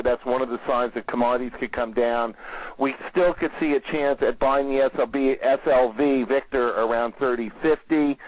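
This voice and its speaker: A man who is a market analyst, hosting a weekly conference call and briefing listeners on the market in a steady, conversational way, with frequent fillers. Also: mid-range voice, rough recording.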